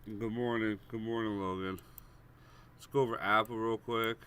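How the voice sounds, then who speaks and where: Stuffy low-voiced man calling stock levels. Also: fairly even intonation, normal speed, bright, forceful tone, smooth. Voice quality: congested, nasal. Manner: casual, repetitive, addresses viewers as guys. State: stuffy head cold. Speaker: stock trading streamer. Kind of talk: live premarket chart walkthrough.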